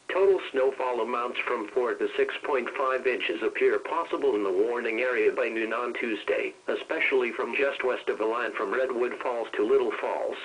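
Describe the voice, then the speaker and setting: A man reading a scripted weather bulletin as a radio announcer, in a measured, impersonal, synthesized-sounding way, heard over weather radio. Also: medium pitch, a bit bright, slightly rough, muffled recording. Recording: weather radio broadcast picked up off a speaker.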